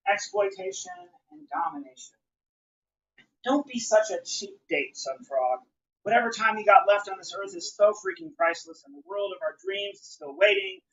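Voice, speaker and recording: A man's voice: sits high, fairly bright tone. Dull-sounding recording of a declamatory male poet. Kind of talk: live spoken-word poetry reading. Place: live reading at a bookshop.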